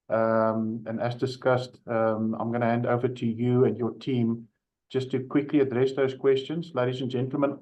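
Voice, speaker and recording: A man moderates a formal launch event, stumbling over fillers as he hands the floor to the next speakers. His mid-pitched voice comes through a slightly dull, lo-fi recording. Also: darkish tone. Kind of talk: event chairing.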